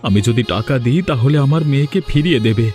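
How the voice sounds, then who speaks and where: A man's voice: medium pitch, fast talker, a little mellow, close to an everyday voice. A man voicing a devoted, worried village father in a melodramatic cartoon, earnest and reassuring. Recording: music behind the voice.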